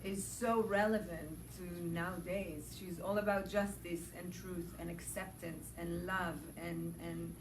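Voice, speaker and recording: A woman's voice: lowish voice, steady tone, unhurried, hardly any pauses. A woman who plays the lead in a film answers fan questions with enthusiasm, listing ideas and restarting her sentences as she goes. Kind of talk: warm interview answers. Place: large public building. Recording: echoey and distant, with noise.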